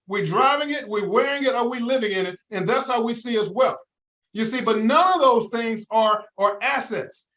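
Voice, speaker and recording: A man's voice: extremely high pitch, fairly bright tone, poor audio. A middle-aged man, a financial advisor guest in an interview, talking in an emphatic, preacher-like way with many rhetorical questions. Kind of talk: interview, motivational talk.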